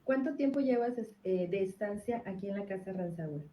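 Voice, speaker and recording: An echoey, slightly noisy recording of a woman interviewer. Her voice is soft-toned and mellow as she asks brief, gentle questions. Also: medium pitch, animated, moderate pace. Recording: roomy, faintly noisy played-back video.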